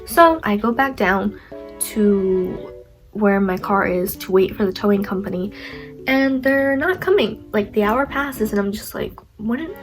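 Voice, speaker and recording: A young woman vlogger with a stuffy nose, telling a story in a chatty, rambling way, full of "like" and self-mocking asides. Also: mid-range voice. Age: young adult. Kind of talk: casual storytime.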